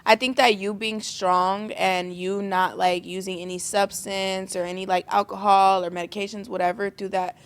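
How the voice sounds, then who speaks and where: A woman's voice: mid-range voice, level tone, normal speed, hardly any pauses. Supportive woman host, slangy casual talk. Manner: supportive, slangy, warm encouragement. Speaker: podcast host. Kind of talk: casual interview chat.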